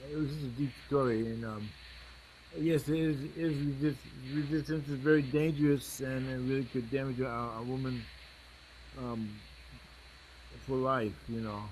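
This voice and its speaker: A man's voice: medium pitch, fairly even intonation, a little mellow, slight background noise, poor audio. A man asking and commenting informally as an audience member, often repeating words as he stammers along. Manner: halting, stammering repetitions.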